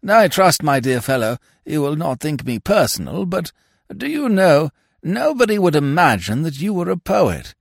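A man's voice: fairly high pitch, sing-song, moderate pace, vocal fry, no echo, studio quality, pitched above the performer's own voice, exaggerated voice. A man voicing a fussy, absent-minded old lord in an audiobook, with a stammering, blurting way of talking. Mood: bewildered, indignant.

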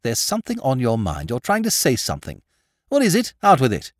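Man doing a sardonic gentleman rogue. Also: mid-range voice, huge pitch swings, brisk, dry recording, clean studio sound, pitched above the performer's own voice. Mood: urgent. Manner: ironic, theatrical, exasperated tirades. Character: witty gentleman ex-thief, exasperated, sardonic, man.

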